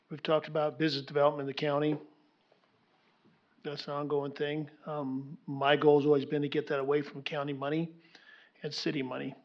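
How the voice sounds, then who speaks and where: A man, a county commissioner, giving measured, plainspoken remarks at a meeting, pausing with frequent ums. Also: on the high side, creaky. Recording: dull lo-fi meeting recording.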